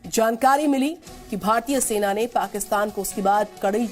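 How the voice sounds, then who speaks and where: A woman's voice: mid-range voice, normal speed, a little mellow. An Indian woman presenting television news in a formal, emphatic manner. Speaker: news anchor. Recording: studio voice over news music.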